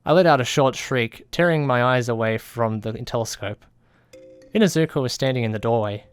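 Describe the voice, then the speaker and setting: A man's voice: medium pitch, a little fast, clear, resonant tone, no room sound. A man reading a scripted first-person story as an audiobook narrator. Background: background music.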